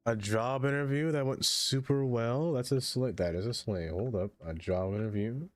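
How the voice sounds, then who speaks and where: A man streaming a game in casual livestream chat, with a stuffed-up nose, chatty and excitable as he greets viewers by name. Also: mid-range voice, moderate pace, soft background music.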